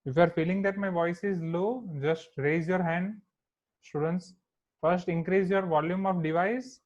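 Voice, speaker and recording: An Indian man teaches a live online maths class, switching between English and Hindi and asking students to respond in the chat. His voice sits a little high. The sound is echoey and thin, like a phone line. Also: fairly even intonation, measured pace, a little mellow. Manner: code-switching, checks in with students often.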